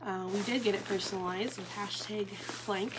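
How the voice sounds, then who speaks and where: A woman's voice: mid-range voice, steady tone, normal speed. A woman in her thirties casually showing off a tote bag live from her living room, talking chattily with lots of ums. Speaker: bag consultant demonstrating products. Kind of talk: live product demo. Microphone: phone or webcam at table distance. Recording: noisy Facebook Live stream.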